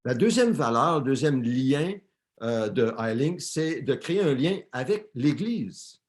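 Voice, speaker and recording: A man's voice: medium pitch, animated, a little slow. A man interpreting a sermon live for a church, often repeating and correcting words as he translates.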